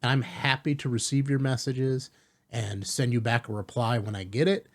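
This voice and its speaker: A man hosting a step-by-step software tutorial in an informal, reassuring way, often checking in with rhetorical asides. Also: mid-range voice, moderate pace. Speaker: tutorial host.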